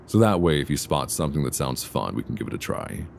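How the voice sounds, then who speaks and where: A man playing an affectionate, playful boyfriend in an intimate ASMR roleplay, talking softly and teasingly in an unhurried, conversational way. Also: a bass voice, very animated, gravelly, full character voice. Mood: cheerful, attentive. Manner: soft teasing, unhurried, conversational with hesitant pauses. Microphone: close binaural microphone. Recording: close binaural recording, faint background noise.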